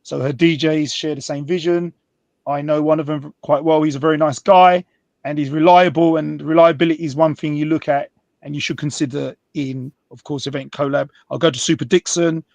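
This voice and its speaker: A man's voice: fairly high pitch, steady tone, a little fast, vocal fry, a little mellow, dry recording. A man hosting a panel, summing up a guest's points and then posing a question to the next, with some hesitant fillers. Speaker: host. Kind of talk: panel moderation.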